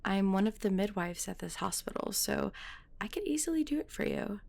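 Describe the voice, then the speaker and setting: A woman playing a kind, professional hospital midwife in an audio roleplay, explaining things gently and patiently. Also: mid-range voice, expressive, fairly quick, vocal fry. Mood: calm, informative.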